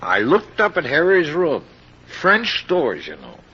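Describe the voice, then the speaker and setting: Drunken man, insolent, cagey, slightly high husky voice, swooping intonation, clipped taunts, old radio drama. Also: wildly swooping pitch, takes it slowly, sharp tone, heavily acted. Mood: sly. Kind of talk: old-time radio mystery acting. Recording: muffled old-time radio recording.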